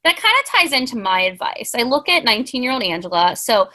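A young woman in her late twenties co-hosts a relaxed talk and offers heartfelt advice. Her voice is bright and clear, and she often pauses on ums as she rambles. The talk stays earnest and casual throughout. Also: a little high. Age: 20s. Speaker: podcast co-host.

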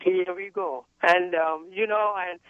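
A man's voice: high-pitched, steady tone, a little slow, no echo. A man originally from Sri Lanka calling a radio show, emphatic and repetitive, with stumbling starts. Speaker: caller. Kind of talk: call-in radio conversation. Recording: tinny phone line.

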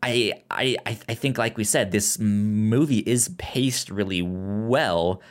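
A man co-hosting a casual film discussion, talking haltingly with repeated words and false starts. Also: lowish voice. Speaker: podcast co-host.

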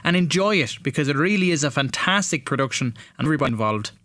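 Irish male presenter, slightly high voice, closely recorded, linking segments of a radio programme. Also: animated, fast talker, pushed and bright, no room sound, studio quality. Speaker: radio show host. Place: radio studio.

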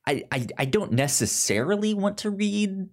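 A man hosting a podcast in casual chat, with a halting delivery full of stammered restarts. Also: high voice, big pitch swings, darkish tone. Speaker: podcast host. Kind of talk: casual chat about comics and film.

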